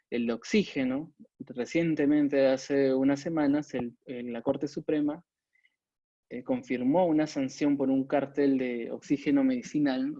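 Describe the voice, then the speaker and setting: A man giving an informal expert lecture as a webinar presenter, speaking haltingly with frequent pauses over a video call microphone. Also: medium pitch, warm tone, dry recording. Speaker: webinar presenter, competition agency adviser. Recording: dull compressed webinar audio.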